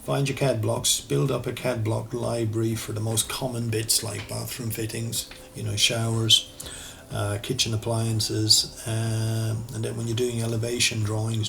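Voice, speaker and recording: A man with an Irish accent teaching architectural CAD over a screen recording, relaxed and thinking aloud as he works, often correcting himself. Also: on the low side, creaky, noisy background. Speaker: architectural designer and CAD tutor. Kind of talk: screen-recorded software tutorial.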